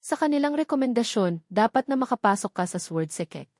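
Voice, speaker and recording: A woman's voice: fairly high pitch, animated, a little fast, darkish tone, no room sound, clean studio sound, natural voice. A woman voicing a cautious young female disciple in a storybook, respectful toward her master. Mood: pleased.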